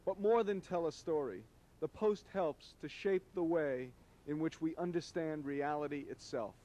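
A middle-aged man delivering scripted commentary to camera on a city street, speaking in solemn, measured, emphatic phrases into a handheld microphone. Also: a little high, dark tone. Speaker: host, media critic. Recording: noisy old videotape street recording.

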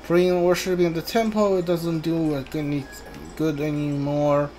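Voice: mid-range voice, little pitch movement, moderate pace, mellow, soft background music, poor audio. A video game streamer giving casual commentary and reading on-screen dialogue aloud, muttering informal asides. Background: video game ambience.

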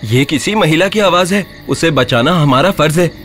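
A man voicing a brave, clever young village husband, polite and persuasive, in an animated story. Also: on the high side, normal speed, soft background music. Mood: concerned.

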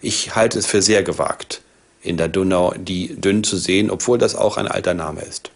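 Male scholar, sixties, explanatory interview delivery, lowish pitch, strong vocal fry. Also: normal speed. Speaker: academic expert interviewee. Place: indoor book-lined study.

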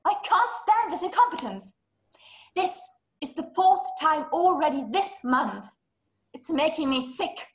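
Young woman acting a high-voiced, fretful, demanding housewife. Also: animated, a little slow. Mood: fretful indignant. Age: young adult. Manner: fretful, indignant, formal complaining. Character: anxious, demanding, housebound wife, nervous and fragile. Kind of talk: stage-style dramatic acting. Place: small room at home. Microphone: laptop on video call.

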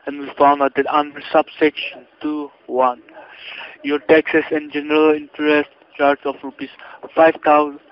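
Stern man on a dull phone line. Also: a little high. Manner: stern, officious, stilted phrasing. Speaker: scam caller posing as tax agent. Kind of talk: scripted phone spiel. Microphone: telephone line. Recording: dull, lo-fi phone call recording.

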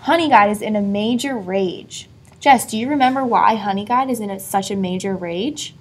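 A woman reading a children's story aloud expressively, pausing to put questions to a young listener. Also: mid-range voice. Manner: asks a child engaging questions. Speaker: storybook reader.

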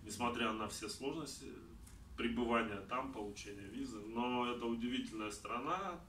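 A man's voice: medium pitch, moderate pace. Man speaking from across a roomy space. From Kazakhstan. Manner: animated, gestures a lot while talking. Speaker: traveler giving a talk. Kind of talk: conversational talk answering audience questions. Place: small indoor room. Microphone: far camera. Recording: roomy, distant, faint background noise.

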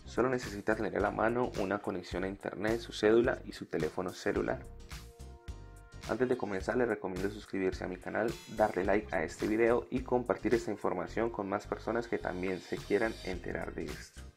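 This is a Colombian man with a fairly deep voice and a strong gravelly creak. He talks as a tutorial host, guiding listeners one step after another. Faint music plays behind his voice-over. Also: a little fast. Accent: Colombian Spanish. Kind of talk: step-by-step app tutorial narration.

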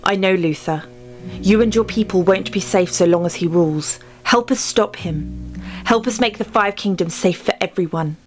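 A woman's voice: medium pitch, normal speed, lightly acted. A woman playing an earnest, commanding young warrior queen in a fantasy drama. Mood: determined. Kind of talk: dramatic fantasy fan-dub acting. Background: faint music.

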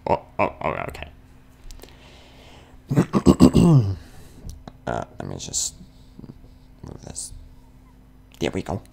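A man's voice: very deep voice, huge pitch swings, drawn-out words, creaky, long pauses, dark tone, husky. A young man giving casual gameplay commentary, muttering to himself with mild swearing. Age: young adult. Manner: muttering to himself, lightly profane. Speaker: let's play host.

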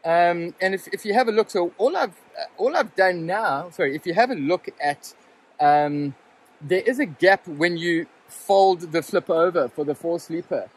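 A chatty man in his forties talks to a phone held at arm's length, his voice pitched a little higher than most men's. He rambles along in a loose, easygoing review, full of ums and self-corrections. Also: expressive, moderate pace, breathy edge, poor audio. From Southern Africa. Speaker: vlogger reviewing his rooftop tent. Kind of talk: casual hands-on gear review. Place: inside a rooftop tent, then outdoors beside a vehicle in the bush. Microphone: handheld phone camera at arm's length.

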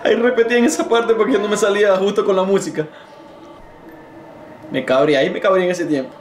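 A man's voice: high voice, fairly even intonation, fast talker, noisy background. Self-mocking young man in an echoey room. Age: young adult. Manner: self-mocking, embarrassed, jokey. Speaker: video creator reacting to own old videos. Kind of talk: casual reaction commentary. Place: small bedroom indoors. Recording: echoey bedroom with faint music. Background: music.

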